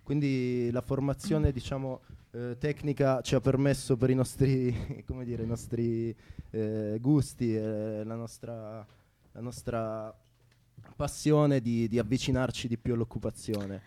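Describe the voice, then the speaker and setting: A man's voice: medium pitch, steady tone, a little slow, mellow, clean tone. A man chatting casually on the radio in a playful, mock-formal manner. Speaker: theatre technician and occupier, interviewing a colleague. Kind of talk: casual unscripted radio chat.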